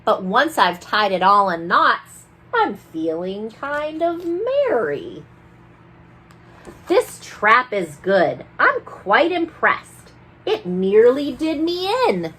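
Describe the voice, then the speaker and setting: A woman's voice: mid-range voice, a bit bright, husky, full character voice, rough recording. Sneaky, theatrical tooth fairy played by a woman, swooping delivery. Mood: gleeful, boastful. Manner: mischievous, theatrical. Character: sneaky, gleeful, boastful female tooth fairy narrating in rhyme. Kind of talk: rhyming storybook read-aloud.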